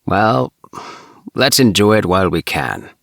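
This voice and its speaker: A man voicing an easygoing, chatty young alien spaceman in a storybook, with relaxed, hesitant phrasing. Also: lowish voice, a little slow, gravelly, dry recording, clean studio sound, voice pushed up, heavily acted. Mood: lazy, contented. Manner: relaxed, with sighs and hesitant uhs.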